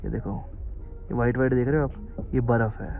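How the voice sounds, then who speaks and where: A man's voice: medium pitch, steady tone, brisk, creaky, phone-quality sound, soft background music. A young man in his twenties vlogging a road trip, chatting and joking crudely with friends from a car and a forest trail, filmed on a handheld phone. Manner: joking, crude banter, addresses viewers directly. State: out of breath on steep climb. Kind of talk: casual travel vlog chat with friends. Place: inside a car on mountain roads, then outdoors on a forest trail. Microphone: phone camera held by hand.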